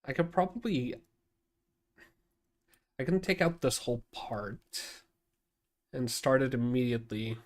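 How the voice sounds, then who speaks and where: A man's voice: medium pitch, animated, unhurried, pauses often. A man with a nasal voice streaming casually, thinking aloud as he edits a video, rambling with many restarts. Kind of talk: casual livestream talk while editing video.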